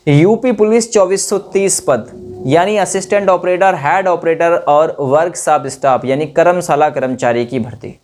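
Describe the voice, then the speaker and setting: A man's voice: on the high side, normal speed, a little mellow. A man presenting an exam update to students informally, addressing listeners directly, repeating phrases and adding tag questions.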